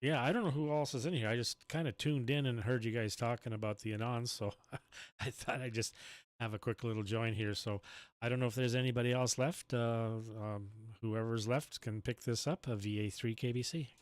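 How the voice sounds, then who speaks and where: Male ham radio operator, casual and conversational, clean smooth voice recorded close and dry. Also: medium pitch, steady tone, moderate pace, no echo. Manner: spells call signs in phonetic alphabet. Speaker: amateur radio operator, station host.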